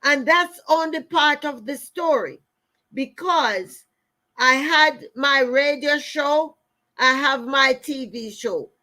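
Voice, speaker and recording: A woman's voice: sits high, takes it slowly, pushed and bright. A middle-aged woman with a Jamaican accent giving a motivational talk through personal stories, emphatic, repeating phrases and speaking straight to her listeners. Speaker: motivational speaker and show host.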